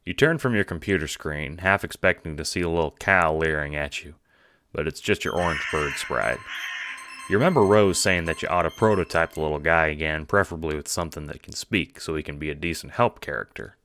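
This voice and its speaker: A man's voice: extremely low pitch, fairly quick, sharp tone, a little hiss or hum. A man narrating a scripted second-person audiobook drama.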